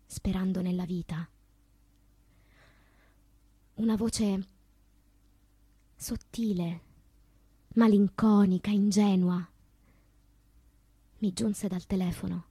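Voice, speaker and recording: A slow, husky, anguished woman poet. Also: mid-range voice, fairly even intonation, dark tone, no room sound. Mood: melancholy, hushed. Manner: slow, declamatory, with long pauses. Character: anguished woman poet recalling loves, madness and death. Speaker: stage actress. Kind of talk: theatrical poetic monologue.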